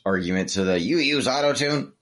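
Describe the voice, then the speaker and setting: A man's voice: mid-range voice, expressive, fairly quick, fairly bright tone. A man chatting casually in an easygoing way, acting out bits of dialogue as he tells anecdotes. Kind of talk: casual chat.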